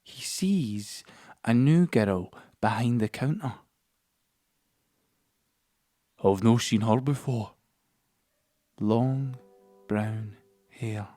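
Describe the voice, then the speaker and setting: An actor playing a troubled, lonely young man, narrating an audio play in deliberate, halting phrases. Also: medium pitch, dark tone, no echo, natural voice. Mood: fixated. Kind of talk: dramatic audio play monologue.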